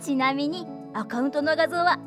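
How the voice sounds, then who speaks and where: A woman's voice: sits high, normal speed, natural voice. A woman playing an emotional young teenage girl in a Japanese audio drama. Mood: proud, cheerful. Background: background music.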